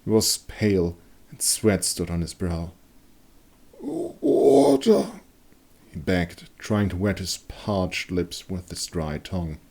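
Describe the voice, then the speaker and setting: A man giving a dramatic scripted narration of a dark fantasy story. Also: low voice, fairly even intonation, a little slow. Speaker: author and narrator.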